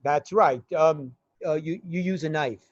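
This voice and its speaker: A woman playing a cautious criminal go-between in a radio play, halting and careful, on a dull recording. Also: low voice, darkish tone. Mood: cautious, hesitant. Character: cautious, hesitant criminal go-between giving orders. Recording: dull, echoey room sound.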